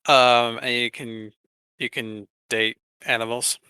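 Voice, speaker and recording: A man with a clear, forward, bright voice co-hosts a casual podcast. He speaks haltingly and drifts into asides. The sound is dry and close. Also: mid-range voice, little pitch movement, no room sound. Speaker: podcast co-host.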